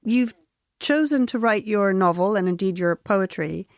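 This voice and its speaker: A woman journalist hosting a literary interview, putting carefully phrased, articulate questions in a measured way. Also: medium pitch, animated, no echo, studio quality. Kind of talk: literary interview before an audience or camera.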